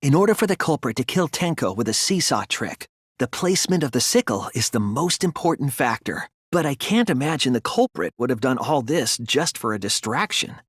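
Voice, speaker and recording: An earnest teenage boy detective in a video game. His delivery is careful but very animated, moving between tentative and insistent as he reasons. The voice has a soft rasp and is recorded dry and close. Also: medium pitch, brisk, no room sound. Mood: analytical. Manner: hesitant at times, then insistent and explanatory. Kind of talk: English video game dub, deductive dialogue.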